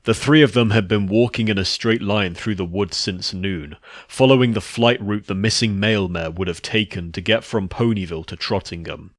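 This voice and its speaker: A man's voice: fairly low pitch, moderate pace, dry recording. A man reading scripted story narration as the narrator, recorded on a close mic.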